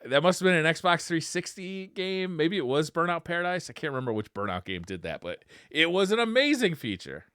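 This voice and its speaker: A man's voice: fairly high pitch, very animated, brisk, few pauses, sharp tone, smooth, dry recording, clean studio sound. An enthusiastic man, chatting casually as a gaming podcast co-host. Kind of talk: casual chat about video games.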